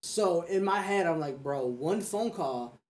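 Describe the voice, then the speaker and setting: Higher-voiced young man, earnest and slangy. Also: animated, measured pace. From United States. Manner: earnest, slangy, repeats himself for emphasis. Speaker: podcast speaker. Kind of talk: casual chat.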